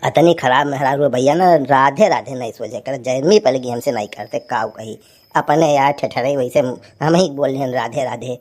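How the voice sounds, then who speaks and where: Woman acting low-voiced, grumbling Awadhi village husband. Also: normal speed, darkish tone. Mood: complaining. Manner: rambling, grumbling, colloquial. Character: harried, pleading village husband and uncle, grumbling and talkative. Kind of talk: cartoon comedy dialogue.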